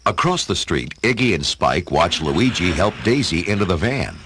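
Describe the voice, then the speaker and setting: A man's voice: low voice, expressive, normal speed, fairly bright tone, slightly rough. A man reading scripted narration for a dramatic audio story.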